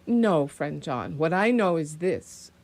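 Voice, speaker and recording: A woman playing a grave, insistent male professor in a radio play, with deliberate, formal phrasing. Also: low-pitched, expressive, a little mellow, heavily acted. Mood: grave, ominous.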